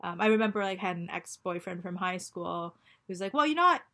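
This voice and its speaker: A woman in her forties, born in Japan and raised in Canada, talking in a personal video diary. She speaks in a halting, reflective way, full of ums and restarts, while going through chemotherapy. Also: on the low side, lively intonation, gravelly, bright, forceful tone. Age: 40s. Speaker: vlogger.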